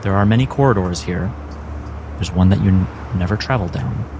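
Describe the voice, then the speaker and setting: A man narrating a tabletop game as game master, in measured, deliberate phrases with dramatic pauses. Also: deep voice, noisy background.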